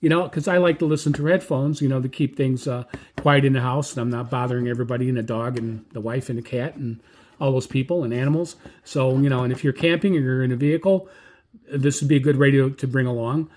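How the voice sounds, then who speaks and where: A man's voice: medium pitch, steady tone, quick pace, darkish tone. A man giving a casual, hands-on radio review in a rambling, conversational way.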